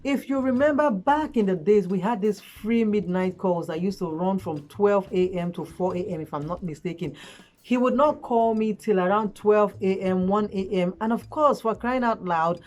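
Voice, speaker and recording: A woman giving relationship advice in a casual, earnest vlog chat, her voice hoarse from a cold. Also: mid-range voice, moderate pace, a little mellow. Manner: chatty, earnest, frequent fillers. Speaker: relationship vlogger. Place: at home late at night.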